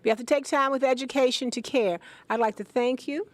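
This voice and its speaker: A woman's voice: high-pitched, fairly even intonation, brisk, no echo. A woman interviewing guests at an event as the host, repeating their answers aloud for the audience.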